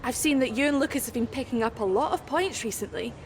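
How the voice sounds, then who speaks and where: An actress playing a brisk, professional female motorsport interviewer in a video game. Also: fairly high pitch, lightly acted. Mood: inquisitive. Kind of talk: scripted post-race interview in a video game.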